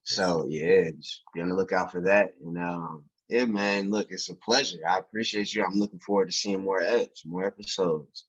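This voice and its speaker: Casting: young man, husky and lowish voice, animated slangy podcast guest, muffled lo-fi sound. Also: moderate pace, hardly any pauses. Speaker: podcast guest, filmmaker and actor. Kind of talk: casual chat. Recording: dull, muffled lo-fi audio.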